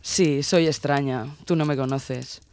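A man's voice: medium pitch, steady tone, measured pace, a little mellow, lightly acted. A man playing an intense, possessive sailor woman of twenty-six in an audio drama, speaking in an insistent, teasing way. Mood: earnest, intimate. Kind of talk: dramatised audio story dialogue.

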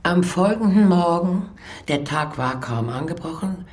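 An older actress playing an elderly former nanny who recalls the past in a reflective, deliberate radio drama monologue. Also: female voice, lowish voice, expressive, a little mellow, slightly rough. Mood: wistful, grave. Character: elderly former nanny, wistfully recalling pre-war Prague.

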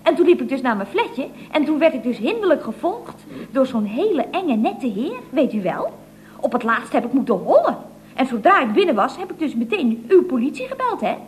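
An actress playing a chatty, feisty single secretary in an old radio comedy, talking in a sing-song way full of tag questions. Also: female voice, high-pitched, very animated, fairly quick, rough recording. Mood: excitedly explaining. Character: chatty, indignant single female secretary, feisty and quick-tempered. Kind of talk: 1970s radio comedy acting. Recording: old radio recording with slight noise.

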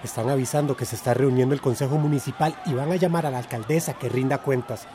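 A man's voice: mid-range voice, quick pace, noisy background, lightly acted. An actor playing a practical, agreeable male community organizer in a radio drama. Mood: earnest.

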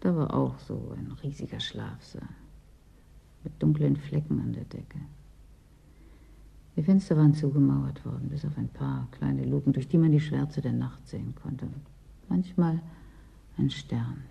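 Wistful property owner, female, husky, creaky, querulous, brooding, radio drama. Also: very deep voice, unhurried, pauses often, dark tone, full character voice. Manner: querulous, brooding, dwells on memories. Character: chilled, wistful woman property owner in a frozen city. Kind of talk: German radio play acting.

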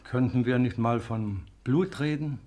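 Actor as slow, solemn village butcher. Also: male voice, medium pitch, warm tone, natural voice. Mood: earnest, testifying. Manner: deliberate, solemn, halting. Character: seasoned village butcher, earnest, ponderous amateur pupil. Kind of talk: German radio drama acting.

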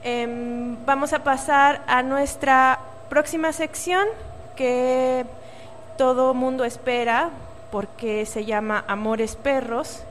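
A Mexican woman hosting a community radio show, talking casually with fillers and pauses. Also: high-pitched. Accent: Mexican Spanish. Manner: relaxed, with fillers. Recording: long reverb, faint hum.

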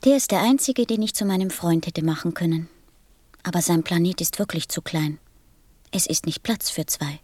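A woman's voice: on the low side, normal speed, a little mellow, slightly rough, dry recording. A curious little prince in an audio drama. The young boy speaks with innocent earnestness and a questioning manner. The sound is dry and studio-close. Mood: wistful. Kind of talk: German audio drama acting.

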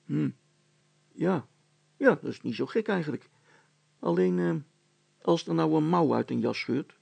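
A man's voice: on the high side, expressive, measured pace, creaky, a little mellow, no room sound, full character voice. A man voicing an earnest, plain-spoken boy in a story, simple, hesitant and doubtful. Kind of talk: dramatised story reading.

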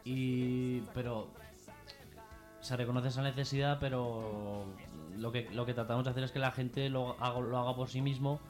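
A man's voice: mid-range voice, little pitch movement, moderate pace, faint music behind. A man with a Peninsular Spanish accent speaking informally as an activist guest in a radio interview, hesitating, rambling and repeating himself.

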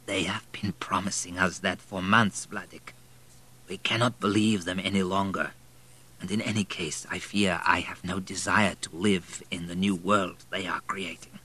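Old blind baron on his deathbed for an audiobook, played by a man. Low, frail, whispery, labouring over words, dignified and resigned. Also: fairly even intonation, pushed and bright, exaggerated voice. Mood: weary, resigned. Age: older. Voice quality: weak, breathy, fading to whispers. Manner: slow, labouring, commanding.

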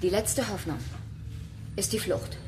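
A woman's voice: mid-range voice, a little slow, a little mellow, soft background music, lightly acted. An actress playing an urgent, pleading woman aboard an escaping spaceship in a radio drama. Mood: grave. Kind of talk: German radio drama acting.

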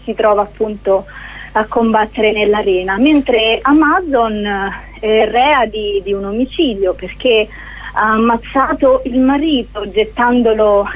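A woman giving an informal radio interview as a guest actress, speaking hesitantly with searching pauses. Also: a little high. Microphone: telephone. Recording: narrow, tinny phone line.

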